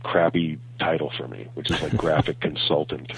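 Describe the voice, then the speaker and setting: A man with a cold and a raspy voice, chatting casually and animatedly about his film work. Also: a bass voice, normal speed. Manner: chatty, animated, with many fillers and restarts. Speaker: film graphic consultant and co-host. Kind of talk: casual podcast chat. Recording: tinny phone line.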